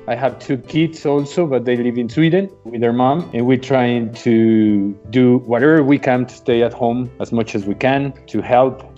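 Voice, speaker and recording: Soft-spoken Spanish-native man, hesitant. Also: mid-range voice, highs cut off. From Mexico. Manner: hesitant, many uh fillers, reflective. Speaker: guest. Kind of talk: casual remote conversation.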